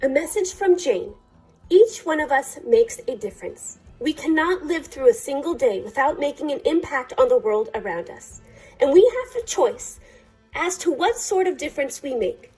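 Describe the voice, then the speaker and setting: Female storytime reader, high and very melodic, soft-toned, deliberate phrasing, faint music underneath. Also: sing-song, rough recording. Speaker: librarian reading a picture book aloud. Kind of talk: children's storytime read-aloud.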